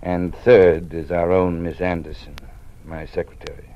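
A weary, fearful old dean. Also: male voice, deep voice, flat delivery, measured pace, muffled recording. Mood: confiding. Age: older. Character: weary older male dean, fearful, cautious. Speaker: college dean. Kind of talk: 1950s radio drama acting. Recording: old radio broadcast with faint hiss.

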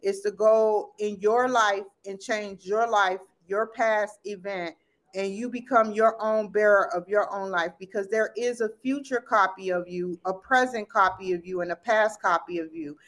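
A woman's voice: medium pitch, steady tone, moderate pace, a bit bright. A woman in her thirties, a podcast guest, talking in a rambling, enthusiastic way and laughing often. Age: 30s. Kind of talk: casual chat.

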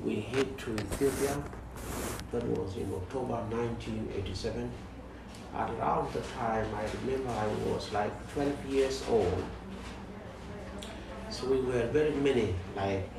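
Gravelly-voiced man from South Sudan, distant. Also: medium pitch. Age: 40s. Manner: slow, halting, with many pauses. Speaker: guest. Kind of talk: interview conversation. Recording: distant mic in echoey room, noisy.

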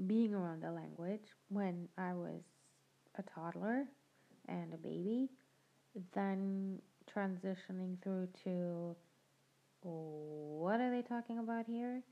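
Woman, young adult, podcast host, reflective storytelling, lowish voice, slightly dull recording. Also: slow pace, gravelly. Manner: reflective, conversational, laughs now and then. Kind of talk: personal storytelling monologue. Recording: dull lo-fi sound.

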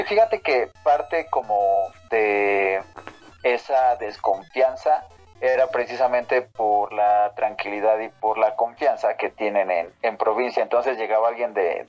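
A man's voice: fairly low pitch, a little slow, darkish tone, soft background music. A man hosting a podcast, asking questions casually and joking and laughing often. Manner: laughs often, jokey interviewer. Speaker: podcast host. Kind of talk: casual chat.

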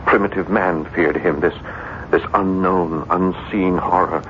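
A man's voice: fairly even intonation, measured pace. A man playing a tormented, obsessed writer in a 1970s radio drama, brooding and argumentative in a theatrical way. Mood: haunted, fervent. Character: tormented male French writer, obsessed with an unseen evil. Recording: dull 1970s radio broadcast, faint rumbling noise.

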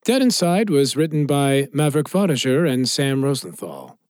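A man's voice: mid-range voice, sing-song, moderate pace, a little mellow, dry recording, studio quality. A man reading scripted credits as an announcer for an audio drama podcast.

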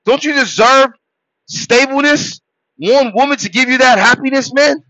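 A man born in Haiti preaches to his listeners in a high, bright, forceful voice, shouting some points and dropping to a low murmur for others. The recording is dull and dry, with no room sound. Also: normal speed, no echo. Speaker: podcast host. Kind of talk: motivational monologue.

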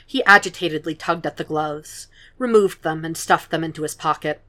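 A woman narrating a fan fiction podfic in a quiet, measured reading voice. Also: deep voice.

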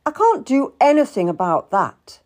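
A woman's voice: fairly high pitch, sing-song, a little slow, a little mellow, voice pushed up, exaggerated voice. Woman putting on a curt, haughty Tudor nobleman. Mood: irritated. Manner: brusque, condescending. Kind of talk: dramatised audiobook character.

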